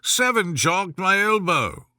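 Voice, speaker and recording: A man's voice: sits high, big pitch swings, takes it slowly, sharp tone, no room sound, studio quality, voice pushed up, heavily acted. A man voicing sulky, quarrelsome playing-card gardeners in a storybook.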